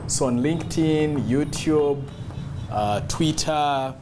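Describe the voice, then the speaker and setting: High-pitched man coaching over background noise. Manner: emphatic, deliberate, repeats key words. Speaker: public speaking coach. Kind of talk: instructional talk to camera on voice modulation.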